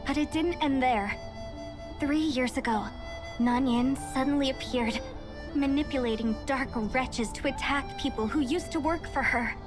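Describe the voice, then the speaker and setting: A woman's voice: on the high side, natural voice. A woman playing an earnest, dutiful young researcher in a video game, formal and polite as she explains things. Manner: formal, expository, apologetic. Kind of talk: scripted video game dialogue. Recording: music behind the voice.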